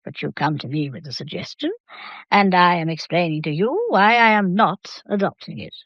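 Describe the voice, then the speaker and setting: A woman playing a stubborn, inquisitive old English matriarch in an audio drama, speaking formally and deliberately. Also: lowish voice, animated, no room sound, muffled recording. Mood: stubborn, dismissive. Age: older.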